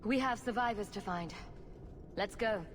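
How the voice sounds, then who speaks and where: A woman's voice: medium pitch, measured pace, lightly acted. Woman acting a sarcastic rogue heroine in echoing cavern. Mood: resolute. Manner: sarcastic, flippant. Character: wry, bold young female rogue champion. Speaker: player character in a fantasy game. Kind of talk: video game voice acting. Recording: echoey cavern reverb, faint noise.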